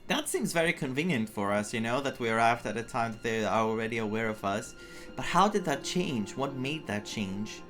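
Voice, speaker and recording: A man's voice: mid-range voice, expressive, moderate pace, fairly bright tone. A man giving casual playthrough commentary, thinking aloud with joking asides. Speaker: gaming content creator. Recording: game music behind voice.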